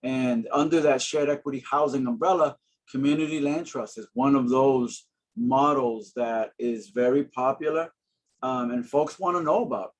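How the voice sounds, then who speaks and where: A man's voice: mid-range voice, moderate pace, a little hiss or hum, low-quality recording. Earnest man in his forties on a webinar. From Boston, Massachusetts. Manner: earnest, friendly, many fillers. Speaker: housing panelist. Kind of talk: webinar presentation.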